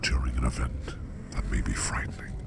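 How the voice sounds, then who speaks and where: Man voicing a slow, whispering gravelly demon. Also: rumbling low, little pitch movement. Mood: calm, courteous. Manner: slow, formal, measured, gently reassuring. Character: curious, courteous male sleep demon visiting dreams. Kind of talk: whispered ASMR roleplay.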